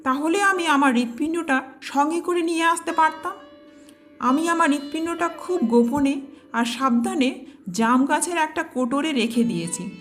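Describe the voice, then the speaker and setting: Quick-witted cartoon monkey, woman performer, high-pitched and persuasive, expressive storytelling over a musical bed. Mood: coaxing. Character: clever, quick-witted monkey, loyal friend turned mocking. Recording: music behind the voice.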